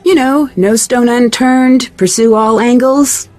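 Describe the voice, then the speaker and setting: A woman playing an inquisitive, earnest young detective in a video game, speaking politely and clearly. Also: a little high, fairly even intonation, slow, darkish tone, faint music behind.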